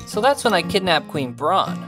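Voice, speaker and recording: A woman's voice: on the low side, very animated, music in the background, pitched below the performer's own voice. Woman voicing a cocky, swooping teenage boy thief. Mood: smug teasing. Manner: teasing, slow, swooping. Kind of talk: theatrical fantasy audiobook acting.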